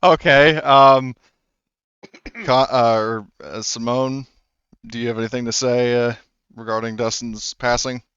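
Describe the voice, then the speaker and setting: A man acting as game master for a tabletop roleplaying game, joking and laughing as he keeps the scene moving. His voice is smooth and resonant. It comes through a dull, muffled mic that sounds close and dry. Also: mid-range voice, steady tone, a little slow, pauses often, fairly bright tone, no room sound. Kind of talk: running a tabletop game over voice chat.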